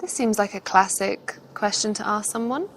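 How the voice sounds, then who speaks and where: A woman's voice: mid-range voice, moderate pace. A woman co-presenting, giving casual explanatory commentary in a conversational way.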